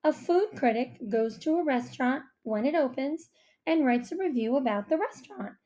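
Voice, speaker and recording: A proud, careful mother played by a woman storyteller with a fairly high voice. She explains and cautions in a firm storybook manner. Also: lively intonation, moderate pace, warm tone. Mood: proud, explaining. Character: proud, cautioning mom, a food critic. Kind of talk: audiobook character voice.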